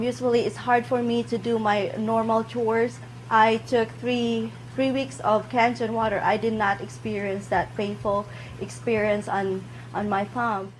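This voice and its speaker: Careful woman with a slightly high voice. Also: slight background noise, rough recording. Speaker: customer giving a testimonial. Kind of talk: unscripted spoken testimonial.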